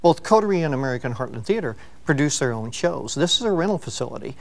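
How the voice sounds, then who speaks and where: A man with a medium-pitched voice speaks in an interview as a venue manager, explaining business decisions. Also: very animated, fast, vocal fry.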